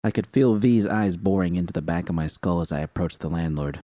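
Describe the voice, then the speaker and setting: A man narrating a first-person fan story in a steady, unhurried reading. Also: low voice, no echo, studio quality. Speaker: fan fiction reader.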